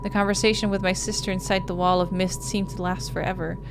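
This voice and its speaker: A woman's voice: mid-range voice, little pitch movement, fast talker, gravelly, music in the background. A woman hosting a gaming video, reading a visual novel aloud as dramatic narration. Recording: noise behind the voice.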